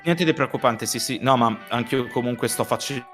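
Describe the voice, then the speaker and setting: A man's voice: mid-range voice, a little fast, fairly bright tone. A man, chatting casually as a stream co-host, often repeating his words. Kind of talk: casual chat. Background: music.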